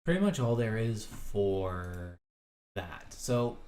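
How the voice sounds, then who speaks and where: A young man hosting a solo podcast, rambling in a casual, hesitant way and thinking aloud with many pauses and restarts. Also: lowish voice, big pitch swings, a little mellow.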